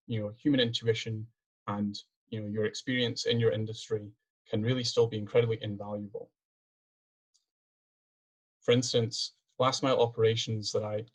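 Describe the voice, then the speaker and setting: A man's voice: lowish voice, poor audio. Scottish man presenting on a webinar. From Scotland. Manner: earnest, with frequent restarts and fillers. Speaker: PhD student presenter. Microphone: video call.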